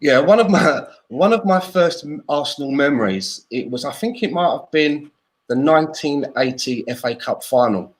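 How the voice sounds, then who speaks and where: A man's voice: a little high, expressive, moderate pace. A man in his fifties from South London, with a South London accent, telling stories in a casual chat as a podcast co-host. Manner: chatty anecdotal storyteller.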